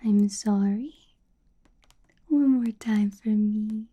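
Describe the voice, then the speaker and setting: A woman's voice: medium pitch, husky. A woman voicing an ancient, doting yet menacing female vampire boss in a whispered ASMR roleplay, coaxing and drawing out her words. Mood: attentive, doting. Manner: slow, drawn-out, coaxing, soft chuckles and repeated words.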